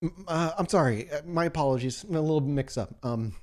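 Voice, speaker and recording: A man's voice: on the high side, lively intonation, a little fast, pitched above the performer's own voice, natural voice. A man voicing a nervous, evasive young woman who is timid, apologetic and stammers in a tabletop roleplaying game. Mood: flustered, apologetic. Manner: hesitant, stammering. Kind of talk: tabletop roleplay character acting.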